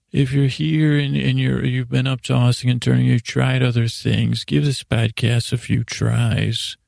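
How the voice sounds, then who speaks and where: A man hosting a sleep podcast, rambling in a halting, self-interrupting way with silly, self-deprecating asides that trail off mid-thought. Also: medium pitch, gravelly, mellow, dry recording, studio quality. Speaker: podcast host.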